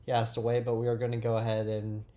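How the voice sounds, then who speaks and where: A man's voice: medium pitch, completely flat delivery, fast, mellow. A teenage boy with a cold hosting a casual tech podcast, chatting and often restarting his sentences. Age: teens. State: sick with a cold. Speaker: podcast host.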